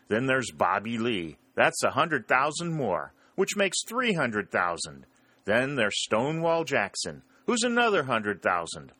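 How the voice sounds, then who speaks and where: A man's voice: dry recording, full character voice. A man voicing a tall, youngish, boastful Southern soldier with a Mississippi accent and a folksy drawl. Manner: folksy drawl, higher and louder than narration.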